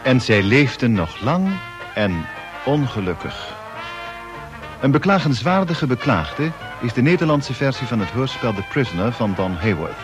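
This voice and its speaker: A man reading scripted closing credits for a radio drama as announcer, in a formal, measured manner. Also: mid-range voice. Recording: music behind the announcement.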